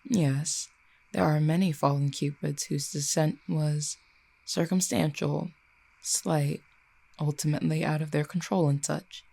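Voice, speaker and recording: A performer voicing an ancient fallen cupid in a roleplay audio drama, poised and wry, speaking to the listener in formal, eloquent, measured phrases. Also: medium pitch, steady tone, gravelly, warm tone. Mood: cool, measured.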